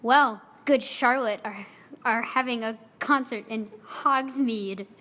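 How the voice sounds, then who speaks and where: A woman's voice: a little high, slow pace, sharp tone. A woman voicing teenage schoolmates in a theatrical dramatic reading of fan fiction dialogue. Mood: shy, casual. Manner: theatrical short lines of teen dialogue. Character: schoolmates of a goth girl: shy boy, excitable girlfriend. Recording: echoey hall with long reverb.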